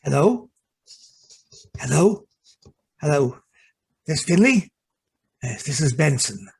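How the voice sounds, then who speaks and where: A man's voice: a little high, creaky, breathy edge, exaggerated voice. A man playing a polite, hesitant and sly valet in a radio play. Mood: furtive, scheming. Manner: hesitant, polite servant's delivery. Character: deferential, hesitant, secretly treacherous male valet. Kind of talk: amateur radio play acting.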